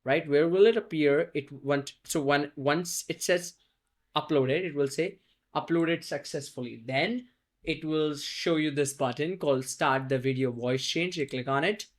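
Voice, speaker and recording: A man giving a casual software tutorial over a screen recording, talking loosely and tagging his steps with "right?". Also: fairly high pitch, unhurried. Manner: loose, repeats "right?" often, restarts phrases.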